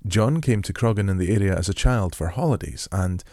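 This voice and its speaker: A man hosting a podcast, giving a gentle descriptive introduction and then asking relaxed interview questions. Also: low voice, brisk, no echo, studio quality. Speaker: podcast host. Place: rural home.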